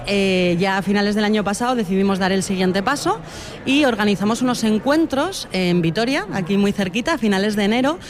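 A woman speaks earnestly about her work as a beekeeper and educator. She talks in a thoughtful, searching way and often asks "right?" as she goes. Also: mid-range voice. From Spain (Huesca, Aragón). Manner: thoughtful, earnest, frequent tag questions. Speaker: beekeeper and environmental educator, interviewee. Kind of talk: radio interview answers.

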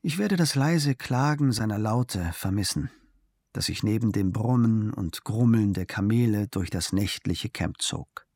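Calm male reader of a diary. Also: lowish voice, no room sound, clean studio sound. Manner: measured, unhurried reading with long pauses. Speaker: narrator reading a travel diary. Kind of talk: calm scripted literary narration.

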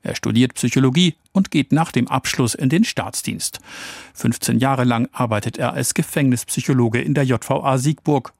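Gravelly man reading a radio obituary. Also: medium pitch, no echo, clean studio sound. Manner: measured, even reading. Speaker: radio reporter. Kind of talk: scripted obituary narration. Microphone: studio microphone.

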